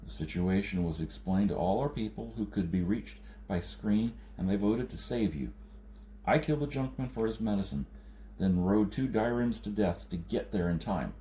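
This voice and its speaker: A man's voice: fairly low pitch, moderate pace, dark tone, roomy sound, slight background noise, lightly acted, poor audio. A man voicing a grave, blunt, determined outlander leader with an intent delivery in a science fiction audiobook. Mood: grave, earnest.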